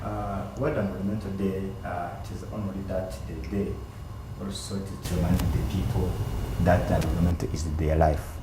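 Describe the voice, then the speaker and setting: A man with a deep, mellow voice answers hesitantly and stresses words slowly. His voice is heard in a roomy space with faint noise behind it. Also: steady tone. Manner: hesitant, many fillers, slow emphatic phrases. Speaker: panel guest. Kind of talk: panel discussion answer.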